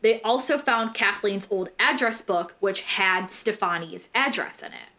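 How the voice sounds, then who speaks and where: A woman's voice: mid-range voice, measured pace, bright, forceful tone. A young American woman hosting a true crime podcast, telling the story casually in slangy, irreverent language and swearing freely.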